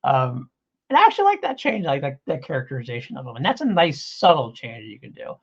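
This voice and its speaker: A man's voice: medium pitch, wildly swooping pitch, fast talker, husky, muffled recording. A man co-hosting a casual review chat, rambling and enthusiastic as he restates his points. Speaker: podcast co-host reviewing audio dramas.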